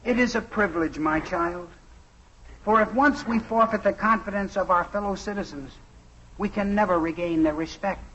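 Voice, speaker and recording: An actor playing an earnest, stately Abraham Lincoln in a 1950s radio comedy. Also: male voice, high-pitched, moderate pace, a bit bright, a little hiss or hum. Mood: solemn, earnest. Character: earnest, dignified Abraham Lincoln in a play within a sitcom. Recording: dull husky old radio recording.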